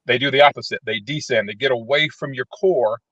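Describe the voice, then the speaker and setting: A man's voice: medium pitch, brisk, a bit bright, no room sound. Male panelist, dull dry call audio. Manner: plain-spoken, checks in with "right?". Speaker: panel guest. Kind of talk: casual explaining. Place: parked vehicle in a parking lot.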